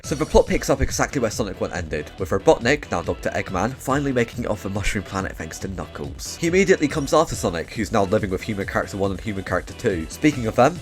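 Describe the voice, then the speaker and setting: A man hosting a scripted comedic video essay, reviewing films with sarcastic, wry remarks and casual swearing. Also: fairly low pitch. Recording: music behind, roomy echo. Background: background music.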